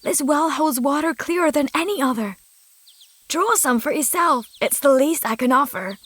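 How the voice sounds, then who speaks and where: A woman's voice: high-pitched, lively intonation, normal speed, a bit bright, dry recording. Woman playing a solemn, kindly fairy crone. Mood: gracious. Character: kind fairy disguised as an old woman, solemn. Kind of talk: fairy tale character acting.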